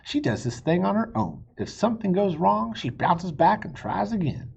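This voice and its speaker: A man voicing a gruff, folksy, plainspoken cowboy type in a children's storybook. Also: high voice, expressive, normal speed, dull audio, voice pushed up, heavily acted. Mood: admiring, declaring.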